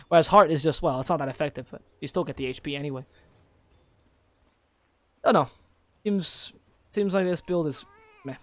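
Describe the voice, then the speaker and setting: A man giving play-by-play esports commentary, excitable and breaking into high shouts at big plays, with a naturally low voice he keeps higher for casting. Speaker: esports caster.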